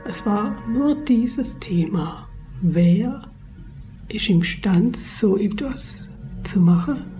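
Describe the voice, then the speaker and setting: A woman's voice: medium pitch, expressive, slow, dark tone, soft background music, low-quality recording. A woman recollecting in a radio documentary.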